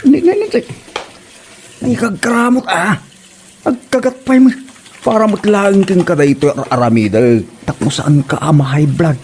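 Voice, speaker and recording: Grumbling Ilocano husband, played by a man, higher-pitched, noisy audio. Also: expressive, normal speed, darkish tone. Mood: exasperated grumbling. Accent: Ilocano Filipino. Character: grumbling put-upon Filipino village husband. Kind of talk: comedy drama acting in Ilocano.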